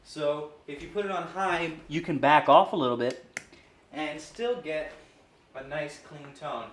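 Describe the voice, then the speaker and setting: A man's voice: fairly high pitch, unhurried, fairly bright tone. A man casually explaining and reviewing gear in an informal, explanatory way. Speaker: host reviewing guitar gear. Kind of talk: casual product demo and review. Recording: roomy echo, noticeable background noise.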